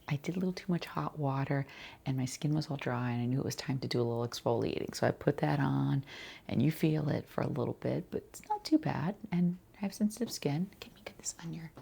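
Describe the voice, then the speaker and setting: A woman quietly playing a skincare consultant in an ASMR roleplay, chatting casually and reassuringly in a soft, breathy voice that often slips toward a whisper. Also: low-pitched, a little hiss or hum. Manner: casual, rambling, reassuring the client.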